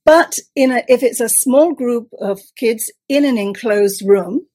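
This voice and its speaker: Husky-voiced woman giving confident advice. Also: a little high, measured pace, dark tone. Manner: confident, instructive. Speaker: storytelling expert guest. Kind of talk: expert advice in an interview.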